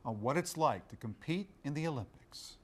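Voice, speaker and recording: A man's voice: fairly high pitch, expressive, normal speed. A middle-aged American man presenting the news as a TV anchor in a studio.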